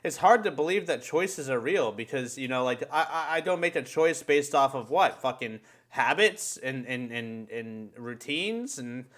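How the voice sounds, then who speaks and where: A man hosting a live stream, chatting casually with friends in an animated, slangy way with lots of swearing. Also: medium pitch, measured pace, few pauses. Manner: animated, heavily profane, slangy. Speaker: live stream podcast host.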